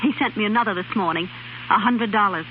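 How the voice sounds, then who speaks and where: An actress playing an anxious but stubborn young wife in a 1930s radio drama. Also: female voice, mid-range voice, fast talker, lightly acted. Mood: uneasy, reserved. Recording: muffled, noisy 1930s radio recording.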